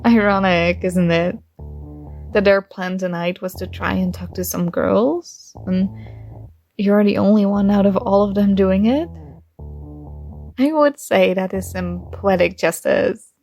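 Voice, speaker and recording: Woman acting a shy college girl with a crush, slow unhurried delivery, soft and hesitant, slight vocal fry, intimate ASMR roleplay, music behind. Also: medium pitch, fairly even intonation, close to an everyday voice. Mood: playful teasing.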